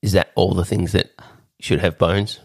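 A man's voice: deep voice, fairly even intonation, normal speed. A man hosting a comedy podcast, playing the dry, skeptical straight man to his co-host's nonsense. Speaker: podcast host.

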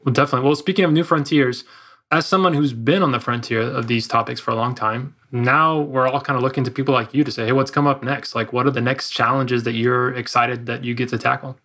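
A man hosting a podcast interview, brisk and friendly in how he asks questions. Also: mid-range voice, fairly even intonation, fairly bright tone. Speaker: podcast host.

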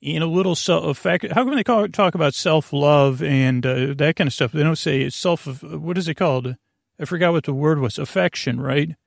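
A man hosting a bedtime podcast with a creaky, gravelly voice, meandering and halting in a goofy, self-deprecating monologue that keeps going off topic. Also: fairly high pitch, no room sound, studio quality. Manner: meandering, halting, goes off topic mid-sentence. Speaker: podcast host.

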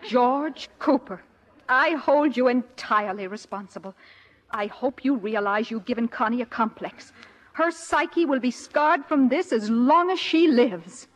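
A woman's voice: a little high, expressive, a little slow. An actress playing a stern, protective middle-aged wife and mother in a 1950s radio comedy. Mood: indignant. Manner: disapproving, clipped, motherly. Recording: noisy old radio broadcast.

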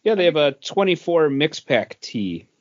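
A man of average pitch chats casually as a podcast co-host, his intonation moving up and down in a lively way. The recording is dry and a little lo-fi. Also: normal speed, no room sound. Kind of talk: casual chat.

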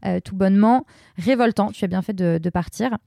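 A woman's voice: mid-range voice, fairly quick, gravelly, mellow, no room sound, studio quality. A woman co-hosting a chatty podcast, playful and hesitant, stopping on fillers between jokes. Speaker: podcast co-host. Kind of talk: casual chat, reading listener messages.

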